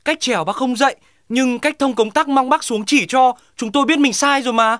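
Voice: high voice, a little fast, a bit bright, no room sound, clean studio sound. An actor voicing a reluctant, grumbling animal in a comic cartoon dub. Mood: pleading. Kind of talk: Vietnamese children's cartoon dubbing.

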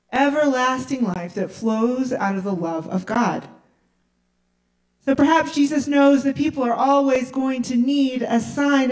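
A middle-aged woman pastor preaching a sermon in a large church sanctuary, speaking slowly and deliberately into a handheld mic. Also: on the high side, a little mellow, far from the mic, low-quality recording. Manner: slow, deliberate preaching with emphatic pauses. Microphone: handheld mic, filmed by far camera.

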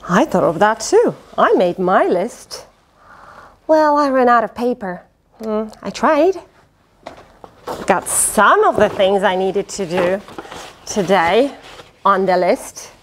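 A middle-aged woman reading a picture book aloud to children in an expressive, dramatic way, with playful asides. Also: mid-range voice. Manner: expressive, dramatic, with gasps, sighs and questions to the listener. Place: indoors, small fabric tent with cushions. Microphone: lapel.

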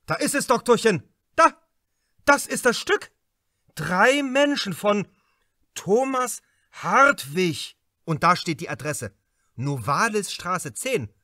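An excitable theatre director from a crime audiobook, acted out by a male reader. He is greedy and grandly enthusiastic, exclaiming and repeating himself in a high, swooping voice. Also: slow pace, dry recording, studio quality, full character voice. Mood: ecstatic.

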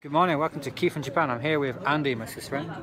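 A man's voice: on the high side, very fast, loud music behind the voice. A young British man in his twenties chatting casually and informally to a phone held at arm's length outdoors. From Britain. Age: 20s. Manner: informal, says "like" often. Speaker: vlogger. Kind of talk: casual travel vlog chat. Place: outdoors, station and ski slope. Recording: noisy outdoor phone recording.

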